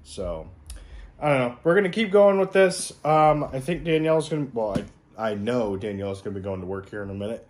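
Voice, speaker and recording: A man's voice: medium pitch, animated, a little fast, darkish tone, slight background noise. A man chatting casually to camera as a book vlogger, in a rambling, informal and slangy way.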